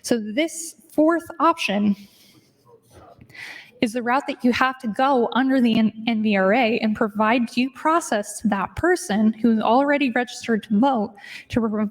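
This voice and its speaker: A woman's voice: on the high side, low-quality recording. A woman state legislator giving a speech in floor debate in a legislative chamber. She argues her point, moving between statute readings and casual asides.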